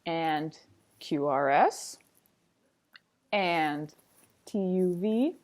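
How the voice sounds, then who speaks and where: A woman's voice: lowish voice, animated, very slow, darkish tone. A woman reading a picture book aloud like a teacher, with a sing-songy, playful, dramatic delivery and chatty asides.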